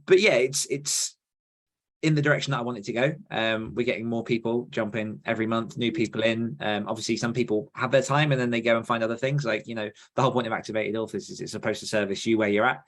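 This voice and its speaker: A man's voice: mid-range voice, steady tone, fast. A man hosting a podcast in casual conversation, earnest and reflective as he thinks his points through.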